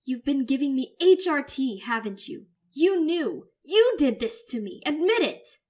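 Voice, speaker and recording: A woman's voice: sits high, big pitch swings, a little slow, a little mellow, voice pushed up. A woman voicing a distraught teenager who whines, shrieks and sobs in anger. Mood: shouting. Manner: whiny, shrieking, sobbing outbursts. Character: distraught teenager, furious and tearful.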